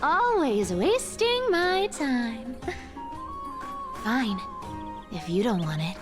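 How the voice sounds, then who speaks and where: A woman's voice: fairly high pitch, very animated, very slow, over music, heavily acted. An actress playing a brash, sly young female thief in a video game, sardonic and playful. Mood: sly, impatient.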